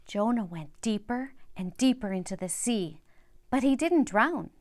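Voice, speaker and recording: A woman's voice: medium pitch, a little mellow. An older woman reads a children's Bible story aloud, speaking directly and simply to young listeners.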